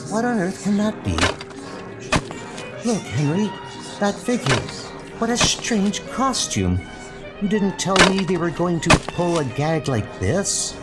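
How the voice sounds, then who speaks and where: Man putting on a higher-pitched, teasing young woman. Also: big pitch swings, slow, long pauses, loud music behind the voice, heavily acted. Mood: startled. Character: vain, playful young woman, comic book superheroine.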